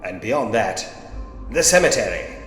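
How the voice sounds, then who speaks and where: Droll gentleman sleuth, echoing hall. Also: lowish voice, sing-song, a little slow. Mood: wry, observant. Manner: theatrical, droll, old-fashioned gentlemanly phrasing. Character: gentlemanly male detective in a Victorian ghost mystery. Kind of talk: point-and-click game character voice acting, musing aloud. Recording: roomy long reverb, music behind.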